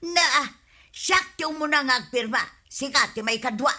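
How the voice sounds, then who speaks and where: A woman's voice: sits high, moderate pace, sharp tone, full character voice. A woman playing an assertive, meddling village neighbour who complains emphatically in an Ilocano-accented radio comedy drama. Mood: pushy. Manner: pushy, emphatic.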